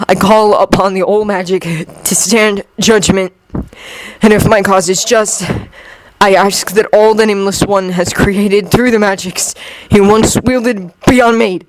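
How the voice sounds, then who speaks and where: A narrator voicing a brave teenage boy wizard, declaiming slowly and formally in an audiobook. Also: medium pitch, natural voice, rough recording. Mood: strained, resolute.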